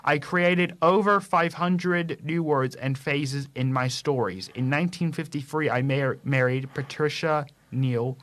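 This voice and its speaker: A man with a slightly raised voice hosts a casual quiz segment for a radio show. He reads his clues haltingly and often stumbles and starts over. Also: fairly high pitch, fairly even intonation, fairly bright tone. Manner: halting reading with stumbles and restarts.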